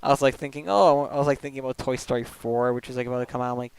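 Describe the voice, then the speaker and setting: A young man streaming a video game, chatting casually and rambling with lots of "like" fillers and quick laughs, into a desk microphone at home. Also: mid-range voice, fairly even intonation, clear, resonant tone, no room sound. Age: young adult. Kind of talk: casual chat while gaming.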